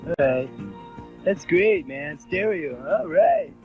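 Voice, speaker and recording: Give me a man acting a silly, dim, enthusiastic radio fan, animated and drawn out, with music behind. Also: fairly high pitch, slow pace, heavily acted. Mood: goofily impressed. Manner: silly, exaggerated. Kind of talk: comic scripted radio promo. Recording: dull old radio promo recording.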